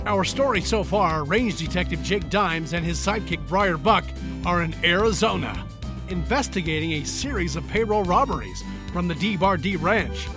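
High-voiced male radio Western narrator over music. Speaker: announcer and narrator. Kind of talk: scripted old-time radio Western narration. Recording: loud music under the voice.

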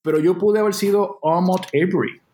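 Man, 40s, puerto rican accent, somewhat high-pitched, earnest, reflective, thinks out loud. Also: brisk. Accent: Puerto Rican Spanish. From Puerto Rico. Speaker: interview guest. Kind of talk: conversational interview.

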